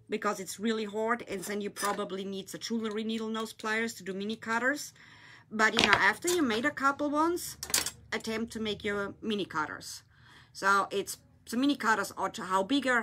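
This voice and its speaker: A woman with a German accent, speaking English as her second language, hosts a live craft tutorial from a tabletop. Her voice is bright and forward, her grammar is loose, and she chats with viewers as she shapes things with her hands. It is picked up by a phone or camera on the desk. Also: medium pitch, a little hiss or hum. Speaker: craft shop owner hosting a live tutorial. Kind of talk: casual live-stream demonstration. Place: indoor craft room, at a glittery table. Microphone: phone or camera on a desk, filming her hands.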